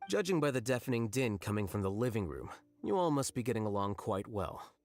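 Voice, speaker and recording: A man voicing an aloof, coolly logical young scholar who speaks calmly and curtly in a video game. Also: lowish voice, fairly quick, soft background music. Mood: dry. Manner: dry, calm, curt.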